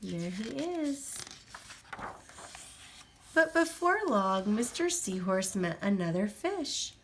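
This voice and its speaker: A woman reads a children's storybook aloud at a slow, unhurried pace. Her voice rises and falls a lot, sing-song and gentle, as she stops to point things out to a little listener at home. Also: mid-range voice, big pitch swings, a little hiss or hum. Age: adult. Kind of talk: children's picture book read-aloud.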